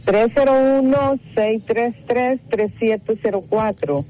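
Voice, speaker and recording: A woman's voice: fairly high pitch, takes it slowly, warm tone, dull audio, faint music behind. A woman, speaking briefly and casually as a caller on a live radio show. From El Salvador. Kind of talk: brief casual phone-in talk.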